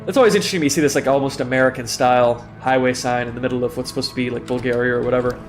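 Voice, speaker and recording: A man's voice: mid-range voice, fairly even intonation, racing, faint music behind. A young man with a stuffy nose, tired and self-deprecating, rambling through casual commentary on a live game stream.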